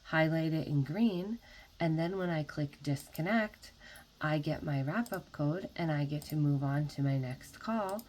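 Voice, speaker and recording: A woman narrating an instructional software tutorial as a trainer, explaining methodically one step at a time. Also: deep voice, measured pace, poor audio.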